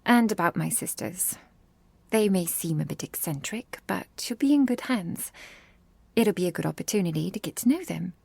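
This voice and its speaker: A woman voicing a gentle, protective vampire girlfriend in an intimate ASMR roleplay, tender and slightly formal, often dropping to a breathy whisper. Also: fairly low pitch, animated, moderate pace, no echo, exaggerated voice. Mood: reassuring, tender.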